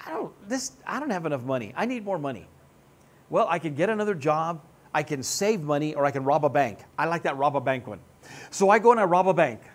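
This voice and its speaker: A man in his sixties preaching a sermon, animated and joking, on a church stage. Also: on the high side, a little fast. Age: 60s. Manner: animated, joking, gestures broadly while seated. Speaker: pastor. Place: church stage with band gear.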